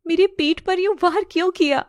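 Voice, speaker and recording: A woman's voice: very high voice, moderate pace, pitched above the performer's own voice. A woman voicing a betrayed young wife in an audio story, heartbroken and reproachful in a dramatic delivery. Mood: wounded accusing. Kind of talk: Hindi audio story acting.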